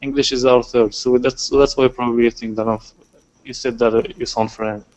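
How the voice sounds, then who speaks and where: A man from Morocco talks in English with a Moroccan accent. He is a native Arabic speaker in a casual conversation. He often pauses and restarts his sentences. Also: mid-range voice, fairly even intonation, darkish tone, low-quality recording. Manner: hesitant, with frequent restarts and fillers. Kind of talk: casual chat.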